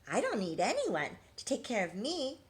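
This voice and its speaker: Storybook butterfly, male, carefree and matter-of-fact, highish voice, read by a woman. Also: wildly swooping pitch, normal speed. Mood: firm, breezy.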